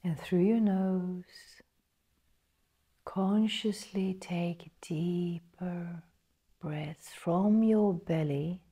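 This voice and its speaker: Soft, husky, slow-speaking woman meditation guide. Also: lowish voice, steady tone. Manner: soft, breathy, partly whispered delivery; slow, measured, pausing between phrases.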